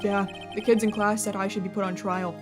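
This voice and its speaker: A woman's voice: mid-range voice, little pitch movement, brisk. A woman reading a game's dialogue aloud, playful, with jokey asides. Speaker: let's play streamer. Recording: game music behind.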